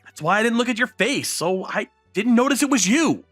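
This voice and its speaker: A man acting out an earnest, self-effacing high school boy in a romance comic dub. His delivery is lively, swinging readily in pitch between narration and dialogue. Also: a little fast, soft background music, exaggerated voice. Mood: explaining. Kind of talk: anime-style manga dub acting and narration.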